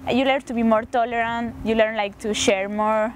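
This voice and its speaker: A woman's voice: fairly high pitch, steady tone, moderate pace, slight background noise. A young woman from Argentina, a native Spanish speaker, telling her story in English with many fillers and self-quotes. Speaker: interviewee.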